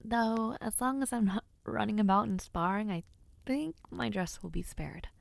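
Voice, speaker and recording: A woman voicing a playful, affectionate young noblewoman knight in an audio roleplay, speaking softly and teasingly and often dropping into whispers. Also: medium pitch, normal speed, vocal fry, dry recording. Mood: chatty, teasing. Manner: soft, teasing and intimate, drops to whispers.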